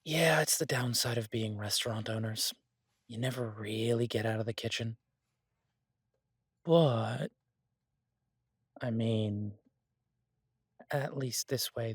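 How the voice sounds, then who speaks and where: A man playing an easygoing, earnest chef boyfriend in an intimate fantasy audio roleplay, talking in a halting, reassuring, conversational way. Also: fairly low pitch, breathy edge, no room sound, clean studio sound, heavily acted. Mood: hopeful, dreamy.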